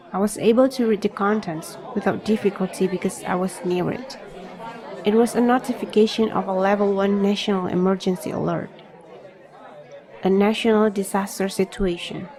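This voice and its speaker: A woman's voice: medium pitch, a little mellow, close to an everyday voice, poor audio. A woman playing an introspective young reader, narrating his story in scripted first-person audio drama style.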